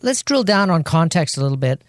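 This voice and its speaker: A man's voice: fairly high pitch, big pitch swings, a little fast, dry recording, clean studio sound. A man hosting a podcast, chatting loosely and introducing his guest with fillers and restarts. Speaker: podcast host.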